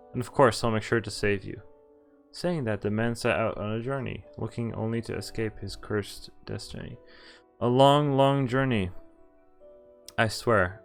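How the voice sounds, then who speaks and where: Male game streamer, lowish voice, casual read-aloud with self-corrections over faint music. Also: gravelly. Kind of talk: reading visual novel text aloud with casual asides.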